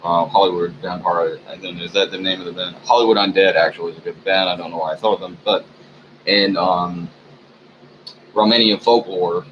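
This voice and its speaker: A man with a very deep voice rambles through a sleepy, unscripted monologue at home, stopping often for ums and lost words. The recording sounds dull and muffled, with a clear hiss under it. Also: steady tone, brisk. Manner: meandering, frequent ums, sleepy and low-key. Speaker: vlogger. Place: room at home.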